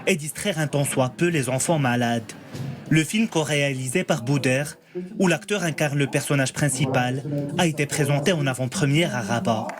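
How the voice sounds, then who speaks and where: A man reporter narrating a news feature about a film in a steady delivery. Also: medium pitch, normal speed. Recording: voice-over with loud music behind.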